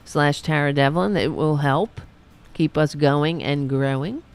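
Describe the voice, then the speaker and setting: A woman hosting a political talk livestream, hoarse after a long show, rambling and sarcastic as she talks to her chat. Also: sits low, measured pace, soft background music. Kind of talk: casual livestream commentary.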